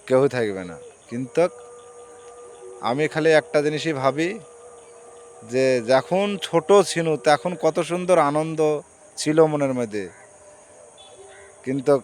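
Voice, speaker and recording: A man's voice: medium pitch, a little hiss or hum. A man with a rural Bengali dialect accent talking casually and reflectively to his viewers, in a plain-spoken, repetitive, moralizing way. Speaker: social media vlogger.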